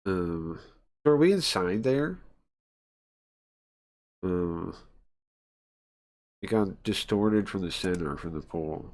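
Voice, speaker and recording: A man reading and translating a visual novel aloud on a stream in a halting, informal way, often searching for words and chatting along. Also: animated, a little mellow. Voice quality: low-pitched voice. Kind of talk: casual live read-through, translating and chatting.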